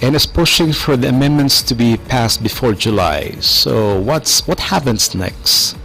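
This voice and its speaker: A man's voice: medium pitch, lively intonation, measured pace, pushed and bright, rough recording. A male radio host with a mid-range voice conducts a live interview. He puts questions to his guest, often restating his words as he goes. Music plays faintly behind him.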